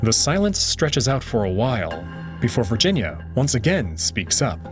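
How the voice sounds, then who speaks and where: A man narrating a visual novel aloud in an expressive way. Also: medium pitch, moderate pace. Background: background music.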